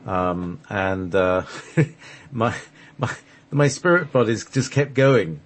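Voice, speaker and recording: A man talks casually, as a radio host swapping stories. His voice is on the low side and rough-edged with huskiness. He rambles, restarting and hesitating, with a joking tone. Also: expressive, takes it slowly. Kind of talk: casual conversational storytelling.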